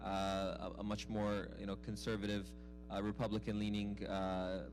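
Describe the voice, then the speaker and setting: A man's voice: fairly low pitch, little pitch movement, moderate pace, music in the background. A young American man from Arizona speaking informally as a guest at a live event, self-deprecating, often repeating words as he goes. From Arizona, United States. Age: young adult. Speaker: guest speaker, mosque youth programs director. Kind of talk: informal personal storytelling at a public dialogue. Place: live event before an audience.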